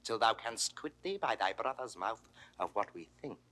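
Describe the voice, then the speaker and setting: An imperious usurping ruler with a British accent, menacing and widely varied in pitch. His voice is hard-edged and swings from hushed threat to thundering orders, in the classical manner of a 1960s recorded play. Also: big pitch swings, measured pace, heavily acted. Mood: cold menace. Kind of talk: classical Shakespearean stage acting. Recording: 1960s studio audio drama recording.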